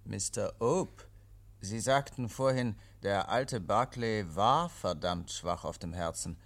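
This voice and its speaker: An actor playing a calm, probing police inspector in a 1950s German radio drama, measured, terse and matter-of-fact. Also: male voice, lowish voice. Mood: skeptical, probing.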